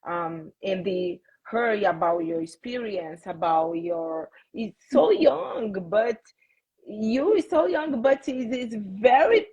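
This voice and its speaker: Husky woman. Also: medium pitch, animated, warm tone, dull audio. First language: Portuguese. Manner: halting non-native English, earnest. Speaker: podcast co-host. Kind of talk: casual closing remarks.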